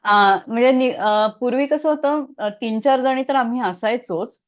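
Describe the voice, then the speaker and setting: संथ बोलणारी मध्यमवयीन मराठी स्त्री. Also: female voice, a little high, unhurried, dull audio. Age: middle-aged. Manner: reflective, many hesitations, laughs softly. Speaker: puppeteer. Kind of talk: casual interview answers.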